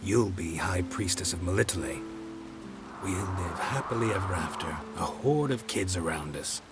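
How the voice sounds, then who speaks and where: A man playing a stoic, dry-witted monster hunter in a video game cutscene, with terse, restrained, deadpan delivery. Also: low voice, moderate pace, loud music behind the voice, natural voice. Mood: calm, wry.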